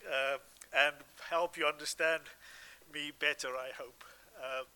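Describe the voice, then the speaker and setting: South african guy preaching, high voice, keeps restarting his words, earnest. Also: fairly even intonation, pushed and bright, clean tone. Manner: earnest, halting with frequent restarts and fillers. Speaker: guest pastor. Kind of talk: sermon.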